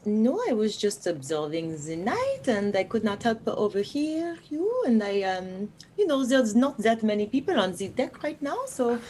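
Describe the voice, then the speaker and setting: A woman's voice: on the high side, sing-song, normal speed, few pauses, darkish tone, a little hiss or hum, rough recording. Inquisitive lady passenger, played by a woman. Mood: polite, curious. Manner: polite, hesitant, questioning. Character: genteel French lady passenger, inquisitive and uneasy.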